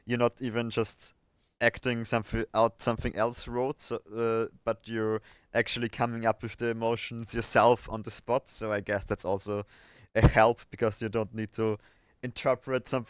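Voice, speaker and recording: A man hosting a livestream in a clean, smooth voice with a very dry, close sound. He chats casually and hesitantly, working out his thoughts as he speaks, at an ordinary male pitch. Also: flat delivery, normal speed, hardly any pauses, dry recording. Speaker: livestream host.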